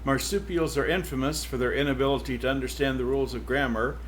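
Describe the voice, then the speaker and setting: A man's voice: mid-range voice, steady tone, fast, a little hiss or hum, close to an everyday voice. A man reading aloud as a witty, digressive female cow narrating her story. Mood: wry.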